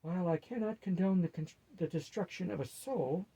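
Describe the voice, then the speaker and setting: A man's voice: sits high, a little fast, mellow, breathy edge, pitched above the performer's own voice, rough recording. A man voicing a pious, stern woman of faith who speaks formally and preaches, in a storybook reading. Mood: grave. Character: pious, stern holy woman with a dark side.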